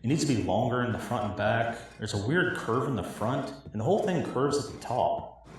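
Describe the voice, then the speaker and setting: A man's voice: mid-range voice, expressive, a little fast, recorded from a distance. A man delivering a dramatic scripted monologue in a hobbyist game parody. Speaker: cosplay builder acting in own parody cutscenes. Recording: echoey, roomy recording.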